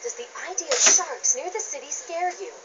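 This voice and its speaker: A woman voicing a brisk, perky fish TV reporter giving a punchy mock live news report in a video game. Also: high voice, heavy background noise. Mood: perky, probing.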